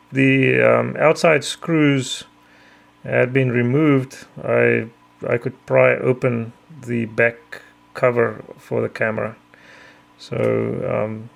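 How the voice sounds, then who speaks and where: Middle-aged Afrikaans-native male narrator, mid-pitched gravelly voice, methodical tutorial delivery. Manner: measured, careful, frequent ums. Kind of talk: explanatory voice-over.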